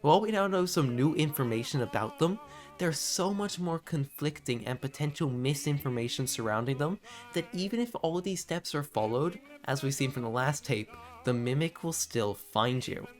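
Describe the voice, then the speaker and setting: A man narrating a scripted video essay in an expressive, informal and confiding way, with casual asides. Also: a little high, normal speed, faint music behind.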